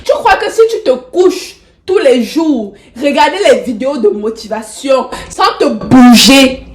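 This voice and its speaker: A woman's voice: high voice, expressive, unhurried. A young woman with the flu and a stuffy nose gives a casual motivational talk to camera. She coaxes her viewers affectionately and repeats phrases for emphasis. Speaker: motivational vlogger. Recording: roomy echo.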